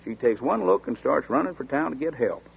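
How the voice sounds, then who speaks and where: An actor playing a chatty small-town sheriff's deputy who talks in a folksy rural dialect, in 1940s radio acting. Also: male voice, mid-range voice, lively intonation, a little fast, gravelly, husky, full character voice. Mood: storytelling. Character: folksy drawling small-town male deputy, middle-aged. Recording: tinny scratchy 1940s radio broadcast.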